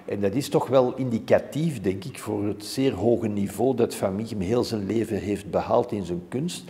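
A Flemish man in his sixties speaks in a low, soft-edged, mellow voice. He explains things informatively, as a curator does in an interview. The room adds a long reverberant tail to his words. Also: moderate pace. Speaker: museum curator being interviewed. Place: indoor museum room hung with framed art.

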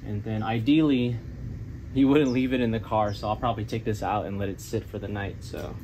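A man's voice: on the low side, fairly quick. A middle-aged man giving casual how-to narration outdoors, informally thinking aloud as he explains. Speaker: DIY vlogger. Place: outdoors in a residential driveway. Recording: clear background noise with clicks.

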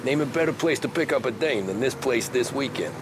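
An actor playing a confident young gangster in a video game, laconic and easygoing. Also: male voice, mid-range voice, expressive, brisk, noisy background, lightly acted. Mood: cool, businesslike. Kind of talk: cinematic video game dialogue.

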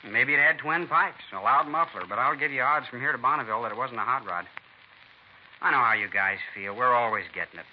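An actor playing an earnest, defensive young American hot rod club member in a 1950s radio drama, talking slangy and insistent. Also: male voice, mid-range voice, close to an everyday voice. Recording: dull 1950s radio recording, faint noise.

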